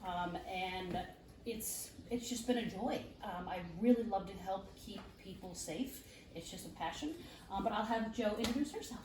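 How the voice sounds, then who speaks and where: A woman's voice: medium pitch, moderate pace. A woman who works as an identity theft specialist, speaking chattily to a live group with many fillers. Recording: roomy, distant mic, clear background noise.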